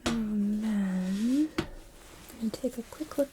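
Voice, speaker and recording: A woman playing a gentle, unhurried hospital nurse in an ASMR roleplay, mostly whispering soft, soothing, reassuring instructions to the listener as patient. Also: fairly high pitch, noisy background. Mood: soothing, attentive.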